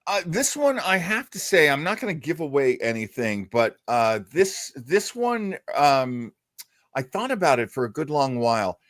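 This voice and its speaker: A man's voice: fairly high pitch, big pitch swings, moderate pace, a bit bright, no echo, clean studio sound. A man co-hosting a radio show, chatting casually and hesitantly, with playful self-deprecation as he introduces old-time radio.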